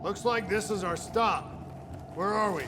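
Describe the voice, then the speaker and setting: An actor playing an earnest, determined soldier in a military video game, speaking in terse lines. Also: male voice, sits high, a little slow, noisy background. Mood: steady. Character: earnest, determined soldier pleading with rogue comrade. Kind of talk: cinematic military game acting.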